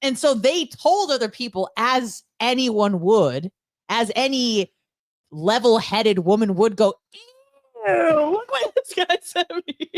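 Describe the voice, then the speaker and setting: A woman's voice: fairly high pitch, sing-song, takes it slowly, dry recording, clean studio sound. A woman co-hosting a radio show, chatting casually and telling candid, gossipy stories with rambling asides.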